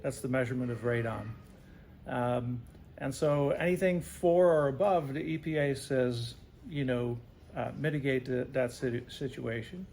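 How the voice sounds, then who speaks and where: A man's voice: medium pitch, steady tone, normal speed, a little mellow. A man answering interview questions as a home-services specialist, explaining things in simple terms and often checking in with "right?". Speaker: radon remediation specialist being interviewed.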